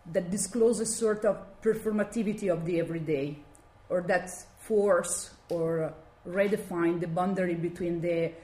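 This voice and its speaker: A woman from Italy speaking with a strong Italian accent, giving an academic talk and often pausing to search for words. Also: lowish voice, warm tone. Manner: halting, searching for words with many fillers. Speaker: guest lecturer. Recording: echoey room, faint background noise.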